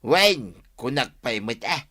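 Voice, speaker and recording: An actor playing a boisterous, tipsy village husband in an Ilocano comedy radio drama, with an Ilocano accent. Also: male voice, medium pitch, big pitch swings, slow, bright, forceful tone, exaggerated voice.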